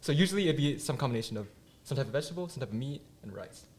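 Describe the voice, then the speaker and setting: A man's voice: medium pitch, animated, rapid-fire, darkish tone. Giggly young man, casual chatter. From Bay Area, California. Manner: rambling, laughs often, many fillers. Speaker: podcast guest. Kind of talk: casual chat.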